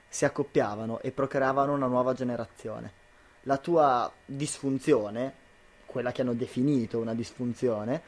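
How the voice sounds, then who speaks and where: A man's voice: medium pitch, a little mellow, close to an everyday voice. A young man playing a troubled, disbelieving husband in an amateur radio drama. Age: young adult.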